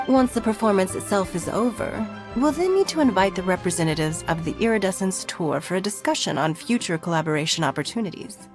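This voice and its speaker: An actress voicing a composed, courteous woman who leads a city, speaking in a formal, elegant, measured way. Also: medium pitch, animated, faint music behind, lightly acted. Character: poised, courteous adult woman leader of a city. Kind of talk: video game voice acting.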